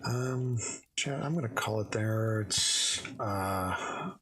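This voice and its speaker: A man reading a classic story aloud on a livestream, slightly hoarse, with small slips and self-corrections. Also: lowish voice, slow, a bit bright. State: a little hoarse. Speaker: streamer reading stories aloud.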